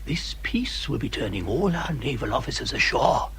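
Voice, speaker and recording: Fawning, wildly swooping English agent. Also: male voice, mid-range voice, big pitch swings, moderate pace, fairly bright tone, a little hiss or hum. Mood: eager, flattering. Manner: obsequious, stammering, fussy. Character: fawning, flustered English gentleman's agent, eager to please. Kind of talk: radio period drama acting.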